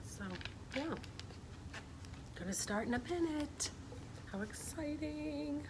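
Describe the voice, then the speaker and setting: A woman's voice: high-pitched, expressive, takes it slowly. A middle-aged woman chatting informally with young listeners and reading aloud on a casual children's story-time livestream. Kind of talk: casual livestream chat and picture-book read-aloud. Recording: clear background noise, live stream.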